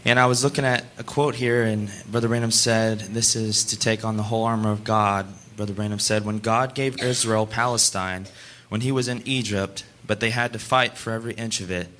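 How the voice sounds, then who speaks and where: Hoarse man speaking from the pulpit. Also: on the low side, steady tone, a little fast, hardly any pauses, a bit bright, a little hiss or hum. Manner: folksy, with many uh fillers. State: hoarse, voice partly gone. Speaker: visiting gospel singer. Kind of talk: casual talk from the pulpit.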